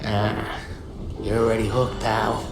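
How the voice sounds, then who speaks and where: A man's voice: on the low side, flat delivery, slow. An actor playing a restless, foul-mouthed mobster in his late thirties, griping in streetwise wiseguy slang in a crime game. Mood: wistful. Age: late 30s. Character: world-weary mobster pushing forty, restless and foul-mouthed. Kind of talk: cinematic crime game acting. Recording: roomy echo, loud music behind.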